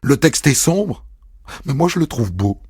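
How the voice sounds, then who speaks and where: A man telling a first-person story as a narrator, in a measured, intimate reading. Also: medium pitch, very animated, breathy edge, no room sound. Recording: dry studio voice over soft music.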